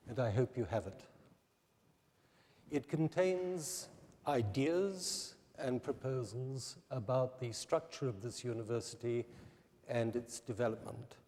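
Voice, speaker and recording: A man delivers a formal prepared speech at an unhurried, deliberate pace. His voice is mellow and dark in tone. The sound carries a long reverb from a large hall. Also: mid-range voice. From New Zealand. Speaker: candidate giving a public presentation.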